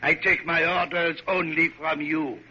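An actor playing a haughty, hot-tempered perfectionist architect, in an imperious, theatrical classic radio drama style. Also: male voice, a little high, fairly even intonation, unhurried, lightly acted. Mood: grandly confident. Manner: imperious, theatrical, grandly formal. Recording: noisy, dull vintage radio recording.

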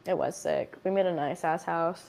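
A young woman chatting as a podcast guest in an expressive, talkative way, full of fillers and quick to laugh. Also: lowish voice, creaky. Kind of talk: casual chat.

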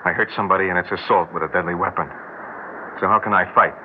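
A man playing a young American boxer in an old radio play, with a very low voice. He is earnest and stubborn, choosing plain words haltingly and deliberately. The recording is tinny and a little noisy. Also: fairly even intonation, close to an everyday voice. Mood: earnest, steady. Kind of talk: 1960s radio drama acting.

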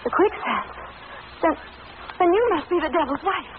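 Bewildered high-voiced captive, old radio. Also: female voice, animated, normal speed. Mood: alarmed. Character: dazed, alarmed female captive, questioning and bewildered. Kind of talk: old-time radio drama acting. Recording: noisy, tinny vintage radio recording.

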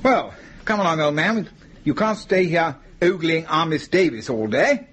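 Genial British office gentleman, high-pitched, animated, courteous, radio play. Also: measured pace, slight background noise. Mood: genial, brisk. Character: affable British professional man, genial, brisk, gentlemanly. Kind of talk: radio drama acting.

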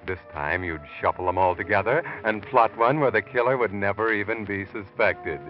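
Man as taunting omniscient narrator, low-pitched, insinuating, old radio thriller, muffled recording. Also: moderate pace, faint music behind, natural voice. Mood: sinister, knowing. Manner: insinuating, addresses the character as you. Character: sinister omniscient male narrator, knowing and taunting. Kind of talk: 1940s radio mystery narration.

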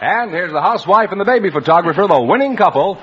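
A man's voice: high voice, lively intonation. Young announcer guy, polished formal delivery, voice rises and falls a lot, muffled old radio sound with music behind. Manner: smooth, formal, measured delivery.

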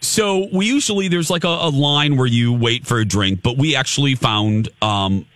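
A man co-hosting a morning radio show, telling a story in a lively, chatty way with laughs, close on a studio microphone. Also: medium pitch, moderate pace, dry recording.

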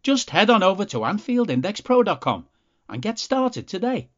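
A man reading a scripted promo for a podcast subscription in an upbeat sales pitch. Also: big pitch swings, normal speed, fairly bright tone, muffled recording. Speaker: ad announcer.

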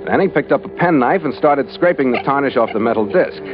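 A man plays a tough sea captain in a vintage radio adventure. His medium-pitched voice is terse and hard-boiled. The recording is dull, with clear music behind it. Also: expressive, brisk, close to an everyday voice. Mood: grim, matter-of-fact. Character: tough, laconic ship's skipper narrating his adventure. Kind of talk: old-time radio drama narration and dialogue.

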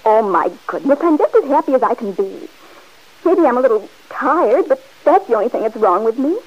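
A headstrong young bride-to-be. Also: female voice, fairly high pitch, sing-song, fairly quick. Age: young adult. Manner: insistent, defensive. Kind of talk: 1940s radio acting. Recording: dull old radio recording.

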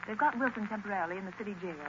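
A woman's voice: medium pitch, rapid-fire, lightly acted. A woman playing an earnest, inquisitive society companion in a 1930s radio drama, questioning and pleading. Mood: curious, pleading. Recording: noisy muffled 1930s radio recording.

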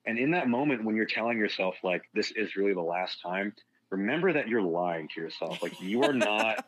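A man's voice: fairly low pitch, expressive, brisk, a bit bright, poor audio. A man talking as a fitness guest on a casual podcast, frank and rambling, swearing freely.

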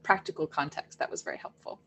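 A woman, an education director, answering interview questions in a relaxed storytelling way, with fillers and restarts. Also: quick pace, a little hiss or hum. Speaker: Montessori education director, guest.

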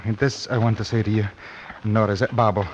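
An actor playing a roguish, sweet-talking Scottish prisoner in an old radio drama. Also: male voice, fairly low pitch, flat delivery, a little fast, lightly acted. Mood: wooing. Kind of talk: 1950s radio drama acting. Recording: muffled, noisy old radio recording.

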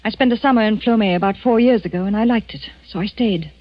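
Actress as a frightened wife, muffled old radio. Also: female voice, medium pitch, quick pace, no room sound, natural voice. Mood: anxious, confiding. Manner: pleading, breathless, dramatic. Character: frightened English wife of a serial killer. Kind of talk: 1940s radio acting. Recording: muffled 1940s radio broadcast, music behind.

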